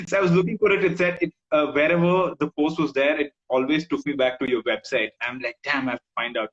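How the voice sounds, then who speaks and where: A man from India hosting an interview, relaxed and casual, with plenty of fillers and agreeing backchannels. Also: on the high side, normal speed, muffled recording. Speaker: interview host.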